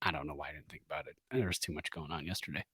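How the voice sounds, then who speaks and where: A middle-aged man talks casually as a sports podcast co-host. His pitch moves around a lot as he rambles with enthusiasm. The sound is dry and close. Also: very animated, racing, creaky, pushed and bright, slightly rough, no room sound. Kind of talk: casual chat.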